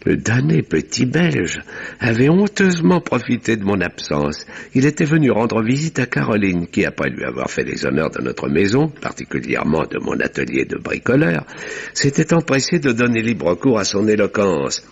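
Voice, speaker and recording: An actor playing a composed, wry village doctor who narrates a 1950s-style French radio mystery in measured, literary, husky tones. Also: male voice, on the low side, big pitch swings, full character voice. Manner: measured, dryly ironic. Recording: dull vintage radio sound.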